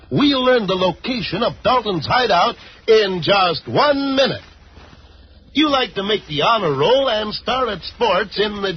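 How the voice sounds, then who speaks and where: High-pitched old-time American radio announcer man. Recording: muffled, noisy vintage radio recording.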